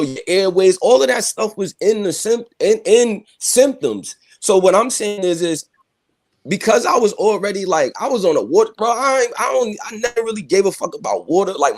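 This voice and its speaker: A young man on a remote call, insistently explaining himself in casual discussion, often repeating and restarting. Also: sits high. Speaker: guest on a panel stream.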